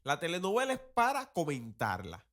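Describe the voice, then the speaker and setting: A man's voice: fairly high pitch, wildly swooping pitch, normal speed, fairly bright tone. A man giving casual, opinionated commentary in a colloquial, critical manner, as a podcast host.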